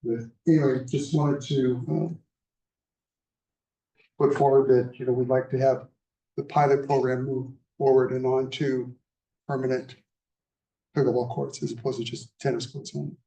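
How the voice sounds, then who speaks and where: An older man, soft-spoken and halting with many fillers, giving a public comment at a city meeting. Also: mid-range voice, level tone, creaky. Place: council chamber. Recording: echoey chamber, mic at a distance.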